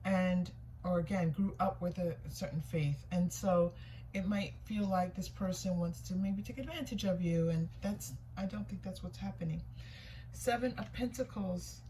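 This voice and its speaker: A woman's voice: lowish voice, moderate pace, dark tone, poor audio. A woman giving a casual, intuitive tarot reading, thinking aloud in an informal way, often repeating herself and questioning what she sees. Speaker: tarot reader hosting a reading. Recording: clear background noise, room ambience.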